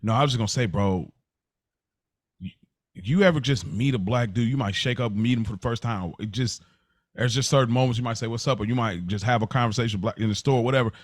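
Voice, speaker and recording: A man's voice: medium pitch, fast, dry recording, clean studio sound. An American man chatting as a podcast co-host, informal and slangy, thinking things through aloud. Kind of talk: casual conversational podcast chat.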